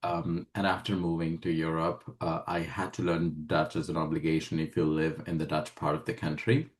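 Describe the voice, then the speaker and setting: A man, answering interview questions as a communication trainer in a measured, emphatic way. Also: rumbling low, level tone. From India. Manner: measured and emphatic, with frequent "uh" fillers. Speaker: guest, communication skills trainer.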